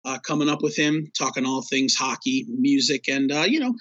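A man's voice: medium pitch, fairly even intonation, moderate pace, a bit bright, no echo, lo-fi sound. A man co-hosting a hockey podcast, chatting casually with lots of fillers and a laid-back, rambling manner. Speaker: podcast co-host.

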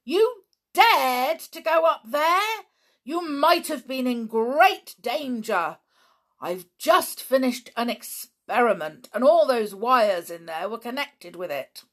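A woman's voice: lowish voice, sing-song, unhurried, full character voice. A woman voicing an irritable, absent-minded middle-aged male scientist who is vague one moment and furious the next. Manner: peevish and vague, bursting into fury.

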